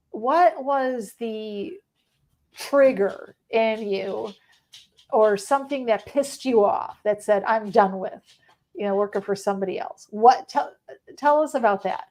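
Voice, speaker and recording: A woman with a Chicago accent and a fairly high voice hosts a conversational podcast. She talks reflectively, circling around and rewording her questions as she goes. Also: unhurried, a little mellow, rough recording. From Chicago, United States. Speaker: podcast host. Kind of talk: conversational interview.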